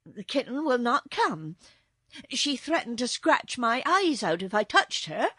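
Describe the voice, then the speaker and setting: Woman voicing a high, troubled young palace maid. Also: sing-song, unhurried, a bit bright, breathy edge, no room sound, heavily acted. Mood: reporting. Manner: earnest, high-pitched reporting. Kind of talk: storybook character voice.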